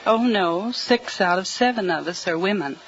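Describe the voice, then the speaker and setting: A woman's voice: medium pitch, expressive, normal speed, lo-fi sound, slight background noise. A woman quiz contestant giving short, matter-of-fact answers and playing along in a skit. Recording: old 1940s radio broadcast with studio audience.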